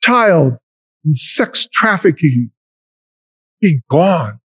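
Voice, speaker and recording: Slow, high-voiced older deacon preaching. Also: male voice, sing-song, fairly bright tone, husky, no room sound. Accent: American. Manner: slow, measured preaching with emphatic rises. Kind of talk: sermon. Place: large church, at a lectern.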